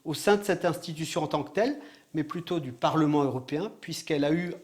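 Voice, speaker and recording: Earnest man, somewhat high voice. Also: fast. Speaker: lawyer at a European institution. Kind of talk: interview answer.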